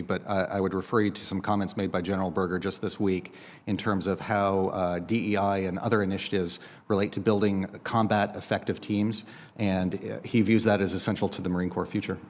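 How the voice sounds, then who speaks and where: A man's voice: low voice, level tone, a little fast. An American man, a government official giving formal testimony in a hesitant, careful manner. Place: large indoor hearing room. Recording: reverberant hearing room.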